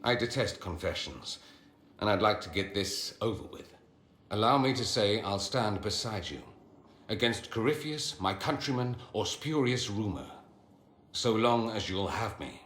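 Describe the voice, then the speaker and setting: An actor playing a witty, proud mage who speaks in a theatrical, sardonic and articulate way, guarded but loyal. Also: male voice, fairly low pitch, lively intonation, a little slow, a bit bright. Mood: earnest. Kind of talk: video game dialogue acting. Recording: roomy game capture, faint background noise.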